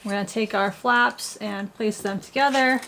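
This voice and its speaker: A woman's voice: medium pitch, steady tone, moderate pace, pushed and bright, slight background noise. A woman who is getting over a cold, walking through a paper craft tutorial with plain, patient, step-by-step instructions. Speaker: craft blogger.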